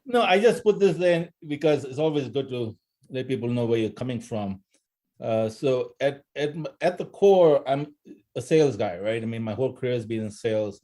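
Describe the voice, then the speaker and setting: A man with a lisp giving an informal guest talk on an online call in a conversational, halting way with rhetorical questions. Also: medium pitch, expressive, warm tone. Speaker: guest speaker, supply-chain salesman. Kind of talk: informal presentation on a live call.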